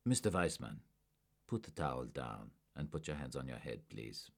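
A man's voice: very deep voice, sing-song, moderate pace, fairly bright tone, heavily acted. A man voicing a hard-edged male police agent who gives curt, authoritative orders. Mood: commanding. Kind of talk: audiobook character voice.

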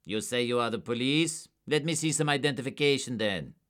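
Brief: man doing a shifty small-time counterfeiter, higher and thinner than the narrator, nervous and sneering. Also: very animated, moderate pace, fairly bright tone. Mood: contemptuous. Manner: nervous, evasive, contemptuous. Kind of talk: audiobook character voice.

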